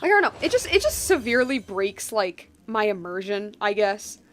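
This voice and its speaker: A woman's voice: medium pitch, big pitch swings, moderate pace. A young woman chatting casually on a live game stream, rambling and gushing in an informal way. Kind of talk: casual live stream chat over gameplay. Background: game music.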